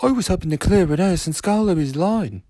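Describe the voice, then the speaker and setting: A man's voice: high-pitched, lively intonation, moderate pace, warm tone, dry recording, studio quality, voice pushed up. A man voicing a polite, earnest little male diesel engine in a children's storybook reading. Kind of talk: storybook character voice.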